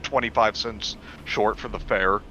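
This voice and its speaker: A man's voice: fairly low pitch, steady tone, measured pace, sharp tone, muffled recording. A man bantering casually and jokingly as a podcast co-host.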